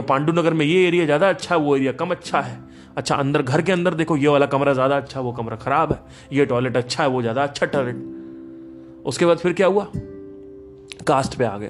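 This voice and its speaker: A man hosting a podcast, giving a casual spiritual talk in an emphatic, animated storytelling manner. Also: fairly high pitch. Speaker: podcast host.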